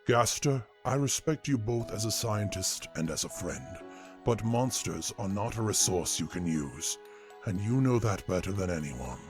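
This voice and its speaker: A man playing a principled, soft-hearted monster king in a comic dub, with a solemn, emphatic, regal delivery. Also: fairly low pitch, expressive, a little slow. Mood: firm, grave. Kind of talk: dramatic comic dub acting. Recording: music behind voice.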